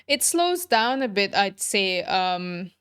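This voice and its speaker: Slow-paced woman streamer chatting. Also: medium pitch, big pitch swings, clean tone, no room sound, studio quality. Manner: chatty, talking to viewers. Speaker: game streamer. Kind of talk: casual livestream chat. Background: soft background music.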